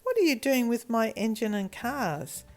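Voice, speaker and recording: A woman voicing a firm, measured father in a children's story. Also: fairly high pitch, sing-song, pitched above the performer's own voice.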